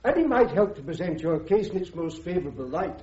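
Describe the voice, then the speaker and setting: On a dull radio drama recording, an actor plays a British military court official. His voice is notably high for a man, and he questions and advises with formal, measured courtesy. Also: expressive, mellow, natural voice. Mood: courteous, formal.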